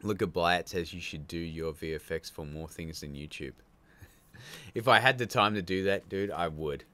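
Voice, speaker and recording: A man's voice: low voice, moderate pace, pushed and bright. An Australian man hosting a live stream, rambling casually as he reads out viewer comments and answers them with frequent laughs. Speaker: livestream host.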